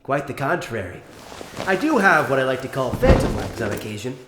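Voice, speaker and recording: A man's voice: mid-range voice, sing-song, fairly quick, heavy background noise, close to an everyday voice. A man voicing a smug, bitter mutant sea-creature prisoner in a comic dub, with a theatrical, mocking, sarcastic drawl. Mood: wry, resigned. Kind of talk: scripted comic dub voice acting. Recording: reverberant room sound, music underneath.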